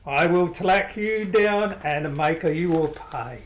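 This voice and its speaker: A man's voice: fairly high pitch, takes it slowly. An actor playing a villainous male crime boss who threatens and rages at thieves in an amateur radio play. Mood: furious. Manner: threatening, then shocked and raging.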